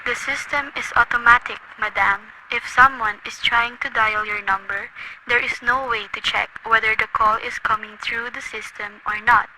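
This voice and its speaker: A woman's voice: fairly high pitch, pushed and bright, slight background noise, rough recording. A woman voicing a formal, detached telephone operator. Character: patient telephone operator and police clerk.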